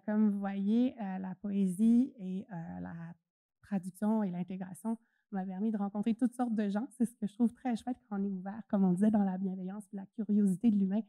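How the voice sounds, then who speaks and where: Woman, conference speaker, mellow dark tone, casual hesitant delivery. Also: medium pitch, dry recording. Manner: chatty, hesitant with many fillers. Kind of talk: presentation talk.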